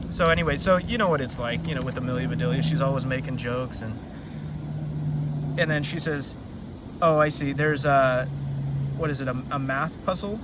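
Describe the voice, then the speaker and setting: A man hosting a casual children's read-aloud outdoors, rambling and easily sidetracked as he talks. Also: mid-range voice. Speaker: read-aloud storytelling host. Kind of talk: casual chat summarizing a children's book. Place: outdoors beside a river. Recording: noisy outdoor recording.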